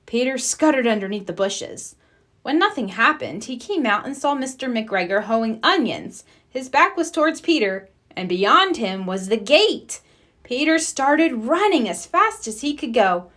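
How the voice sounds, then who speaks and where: A woman reading a children's storybook aloud as a storyteller, with expressive, deliberate phrasing. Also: fairly high pitch.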